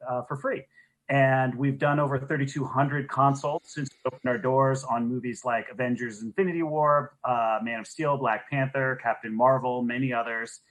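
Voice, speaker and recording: A man's voice: mid-range voice, poor audio. A man speaking as a program director, giving an informal welcome and housekeeping notes as co-host of an online event on a video call.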